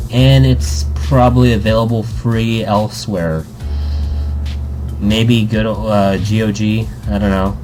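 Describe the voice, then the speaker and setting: A man's voice: mid-range voice, mellow, slight background noise, poor audio. A man hosting a gaming video in a casual, jokey, rambling way, easily amused. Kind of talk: casual let's play commentary. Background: music.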